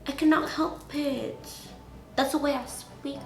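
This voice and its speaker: A twenty-year-old woman with a Latin American Spanish accent, a native Spanish speaker, chatting excitedly and slangily to camera in a casual beauty vlog. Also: high-pitched, measured pace, vocal fry, slight background noise. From Venezuela, grew up in the Dominican Republic. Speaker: beauty YouTuber. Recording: roomy echo.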